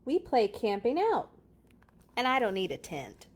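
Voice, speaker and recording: A woman reading a children's picture book aloud in an expressive, playful way, with chatty casual asides. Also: on the high side, unhurried.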